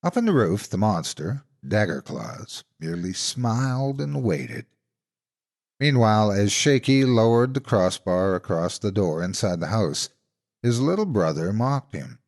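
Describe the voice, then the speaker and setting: A man narrating a horror folktale in an expressive, suspenseful, scripted storytelling style. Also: fairly low pitch, measured pace.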